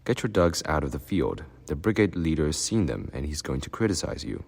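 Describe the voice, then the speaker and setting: A man's voice: rumbling low, very animated, a little fast, vocal fry, a little mellow, breathy edge, in a large hall, full character voice. A man voicing a young boy who speaks with urgency. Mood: urgent.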